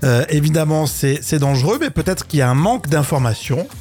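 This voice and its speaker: A man's voice: on the high side, animated, brisk, a little mellow, soft background music. A man hosting a French radio show, chatting casually and running quiz games with a co-host, laughing often.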